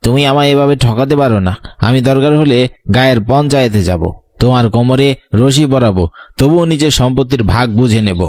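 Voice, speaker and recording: A man's voice: mid-range voice, brisk, a little mellow, no echo, clean studio sound, natural voice. A man playing an earnest young student, the wronged younger brother, in a horror audio story. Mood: defiant.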